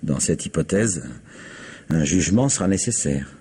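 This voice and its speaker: A formal, cautious notary in a vintage radio drama. His very deep voice is mellow and strongly breathy. He weighs his words with polite, hesitant pauses. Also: animated, exaggerated voice. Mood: explaining. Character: cautious, formal middle-aged male notary.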